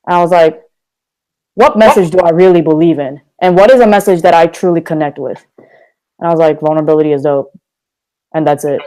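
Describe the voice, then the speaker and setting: A man's voice: fairly high pitch, fairly quick, long pauses, mellow, clean tone, poor audio. A young man from Korea, a guest talking candidly and energetically in a casual podcast interview. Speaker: guest, motivational speaker.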